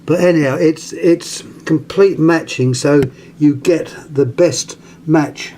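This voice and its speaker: British man, unhurried halting demonstrator, slightly higher-set voice, informal show-and-tell on a camera mic. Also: dark tone, slight background noise. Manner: halting, pausing mid-phrase while handling things. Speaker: vintage radio collector presenting an item. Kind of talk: casual hands-on demonstration. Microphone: handheld camera, close to the item.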